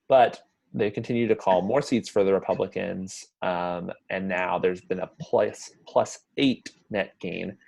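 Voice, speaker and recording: A man's voice: low-pitched, gravelly, a little mellow, low-quality recording. A man in his twenties with an American accent, co-hosting and chatting casually about news on a video call. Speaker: podcast co-host. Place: indoor room at home, video call.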